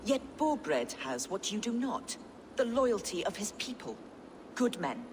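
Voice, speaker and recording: A woman's voice: medium pitch, very animated, measured pace. An actress playing a proud, pious, defiant captive medieval queen in a video game, speaking in a haughty, formal way. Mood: proud defiance. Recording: clear background noise.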